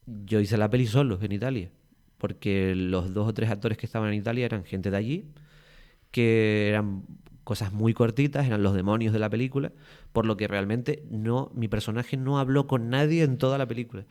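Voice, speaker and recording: Lower-voiced Canarian man telling stories. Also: normal speed. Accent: Canary Islands Spanish. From Tenerife, Canary Islands. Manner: lively, rambling storytelling with many restarts. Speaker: guest actor. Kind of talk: casual podcast interview.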